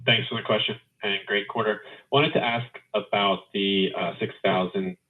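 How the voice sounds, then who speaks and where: A man's voice: fairly low pitch, steady tone, normal speed. A male financial analyst phoning in to ask questions on an earnings call. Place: phone call into conference. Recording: narrow tinny phone line.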